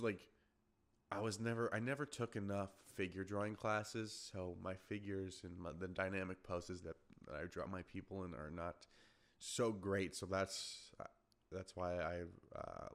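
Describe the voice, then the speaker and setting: A young man with a very deep, low-pitched voice talks through a solo podcast episode. He rambles casually, stopping and restarting his sentences and filling gaps with ums and uhs. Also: a bit bright. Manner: rambling, many fillers and restarts. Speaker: podcast host.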